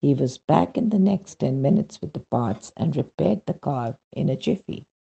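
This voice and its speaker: Male narrator for devotional readings: very raspy and creaky, lively pitch movement, measured scripted delivery. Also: highs cut off. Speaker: reader of a devotional testimonial. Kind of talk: scripted narration, read-aloud spiritual testimonial.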